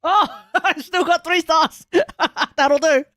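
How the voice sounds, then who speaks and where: A woman's voice: very high-pitched, slow, a bit bright. A woman giving casual live reactions as she streams a video game, with noise and clicking behind her. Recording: clear background noise, clicks.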